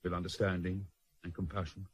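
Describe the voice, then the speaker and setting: An actor in a radio drama playing a proud middle-aged naval lord, quiet and clipped. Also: male voice, sits low, flat delivery, brisk, husky, dry recording. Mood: subdued.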